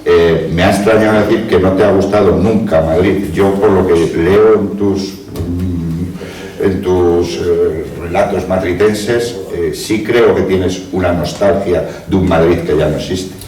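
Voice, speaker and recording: A man's voice: sits low, steady tone, measured pace, a little mellow. A man speaking as a panelist at a book presentation, reflective and hesitant, with many fillers. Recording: echoey room, distant mic.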